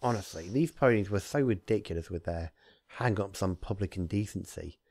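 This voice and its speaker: A man who hosts readings of fan fiction, talking casually and narrating with theatrical, deliberate phrasing. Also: low-pitched, darkish tone, no echo. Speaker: fan fiction reader, channel host. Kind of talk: casual intro, then narrated story reading.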